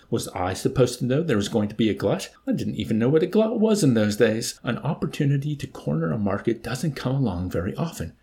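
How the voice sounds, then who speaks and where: A man voicing an earnest, anxious male wheeler-dealer in an audiobook, with an excitable, pleading, plaintive manner. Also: mid-range voice. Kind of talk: audiobook character voice.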